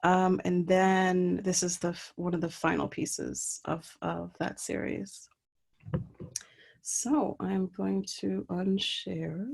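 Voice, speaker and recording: A woman's voice: fairly low pitch, little pitch movement, slow pace, creaky, darkish tone, breathy edge. A woman from Puerto Rico, an artist walking through slides of her work in an online talk, speaking hesitantly with many ums and restarts over a video call mic. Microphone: computer mic on a video call.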